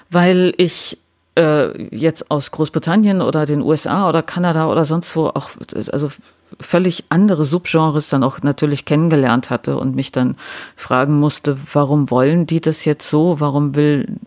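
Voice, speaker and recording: A woman's voice: low voice, a little mellow. Wry, hesitant woman novelist. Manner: reflective, wry, with many hesitations and restarts. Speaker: crime novelist, interview guest. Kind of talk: casual interview talk.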